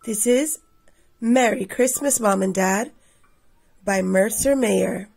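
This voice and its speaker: A woman in her thirties reading a picture book aloud expressively for children, with a slightly husky, creaky voice. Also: mid-range voice, takes it slowly, a little mellow. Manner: addresses children directly. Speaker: read-aloud storyteller for children.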